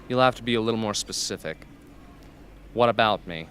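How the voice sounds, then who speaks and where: A man's voice: lowish voice, normal speed, fairly bright tone, clean tone, natural voice. A man playing a bitter, unstable young former captive in an amateur radio drama, sarcastic and needling.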